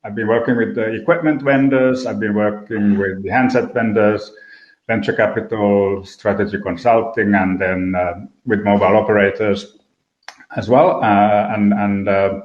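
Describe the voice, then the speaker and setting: A middle-aged man speaking as a panelist, measured with a touch of dry humor. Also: on the low side, fairly even intonation. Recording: roomy, dull sound.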